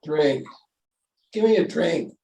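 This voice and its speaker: A man playing a wry, groggy newspaperman in old-time radio style, with a creaky voice and dry, teasing understatement. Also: fairly high pitch, expressive, slow, mellow, reverberant, heavily acted, low-quality recording. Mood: groggy, weak.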